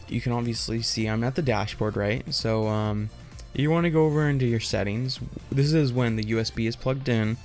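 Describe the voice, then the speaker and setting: A man hosting a casual, unscripted tutorial, explaining in an informal, rambling and hesitant way. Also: medium pitch. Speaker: tutorial host.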